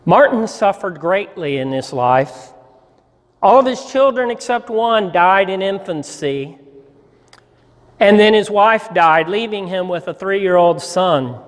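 Male pastor, 60s, storyteller at the pulpit. Unhurried, measured read, long hall reverb. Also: sits high, lively intonation. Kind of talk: dramatic storytelling reading from a church pulpit. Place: church sanctuary, at a lectern.

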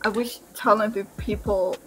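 A woman's voice: mid-range voice, steady tone, a little slow, a bit bright, poor audio. A young woman on a webcam video call, gushing and effusive with praise, talking from a room at home. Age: young adult. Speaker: video chat stranger. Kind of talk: casual video chat.

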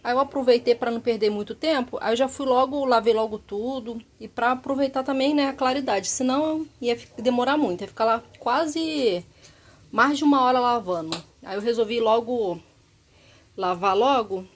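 A Brazilian woman in her thirties talks through her kitchen cleaning in a relaxed voice-over. Her voice sits a little high, and she rambles, repeating words and tossing in little tag questions. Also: moderate pace. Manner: chatty, repeats herself, frequent tag questions. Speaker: home organization vlogger. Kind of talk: casual voice-over narration.

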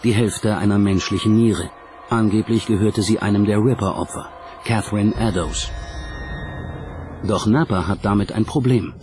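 A man with a fairly low voice reads scripted documentary narration. Music plays prominently under his voice. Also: moderate pace, darkish tone. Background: background music.

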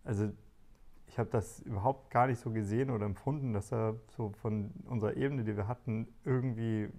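A man in his forties talking in a relaxed interview about family life, thoughtful and full of small fillers and restarts, recorded on a lapel mic indoors. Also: fairly low pitch, a little fast. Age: 40s. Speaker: interviewee, father on a shared farm. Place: bright living room indoors.

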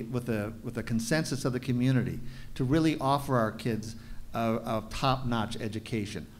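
A man in his sixties, a school board candidate, giving a halting forum statement with many fillers into a desk mic. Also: mid-range voice. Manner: halting, many fillers and restarts, firm on policy. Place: meeting room at a panel table. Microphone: desk mic on a stand.

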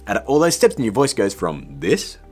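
A man's voice: on the low side, wildly swooping pitch, a little fast, darkish tone, faint music behind. A man in his thirties hosting a step-by-step video tutorial in an upbeat, chatty, informal way, talking straight to viewers. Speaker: host, video tutorial presenter.

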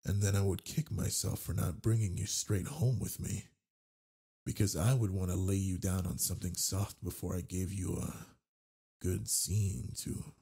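A man playing a flirtatious, confident werewolf alpha with a husky, gravelly voice in an intimate roleplay audio drama, teasing and tender toward the listener. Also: low voice, fairly even intonation, moderate pace, warm tone, exaggerated voice.

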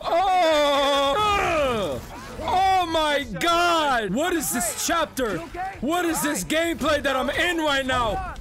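A man giving excited gameplay reaction commentary, often shouting and repeating his phrases rapidly. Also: extremely high pitch. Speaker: game streamer and video creator. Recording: loud game music behind. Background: video game music and sound.

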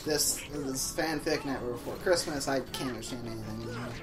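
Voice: medium pitch, a little fast, recorded from a distance. A let's play co-host reading fan fiction aloud in a mock-dramatic, sarcastic way, with joking asides. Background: game music.

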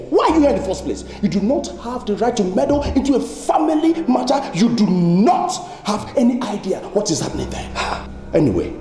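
An actor playing an arrogant, combative young man who defies his family in a drama. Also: medium pitch. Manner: insolent, combative. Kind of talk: dramatic film acting. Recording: echoey room, music behind.

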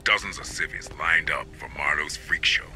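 An actor playing a hardened, cynical security captain in a video game, gruff, gravelly and sardonic. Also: male voice, very deep voice, normal speed, bright, forceful tone, faint music behind, heavily acted. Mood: grim, bitter. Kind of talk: cinematic game acting.

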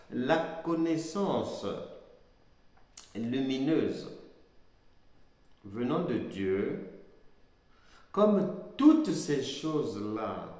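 A middle-aged man giving a sermon-style Bible lesson, speaking slowly and emphatically, repeating his key phrases, recorded at a desk webcam in a small indoor room. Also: fairly high pitch. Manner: slow, emphatic, repeats phrases, animated hand gestures. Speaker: pastor teaching a Bible course. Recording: echoey room with long reverb.

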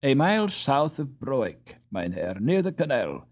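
A man's voice: medium pitch, sing-song, a little slow, a little mellow, voice pushed up. A man voicing a poor, humble boy in a storybook, speaking politely and earnestly. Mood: pleading, sad.

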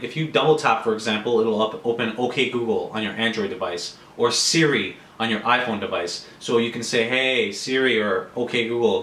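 Young Canadian man, bright voice, roomy sound. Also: mid-range voice. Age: 20s. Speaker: motorcycle gear reviewer. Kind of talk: casual product review to camera. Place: small furnished room at home, indoors. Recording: roomy, echoey indoor sound.

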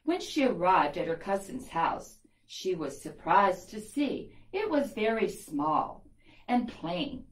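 An older woman telling a story to young children, deliberately stressing key words, recorded in a classroom. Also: medium pitch, big pitch swings. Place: small classroom, indoors. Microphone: camera a few metres away. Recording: echoey classroom sound.

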